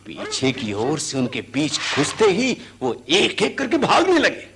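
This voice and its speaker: An earnest elephant catcher in a radio drama, humble before his master. His voice sits fairly high and moves in lively swings as he recounts things vividly, over faint background noise. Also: unhurried, creaky, exaggerated voice. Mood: excited recounting. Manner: servile address, vivid excited recounting. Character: deferential, earnest male elephant catcher, eager storyteller. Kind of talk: Hindi radio drama acting.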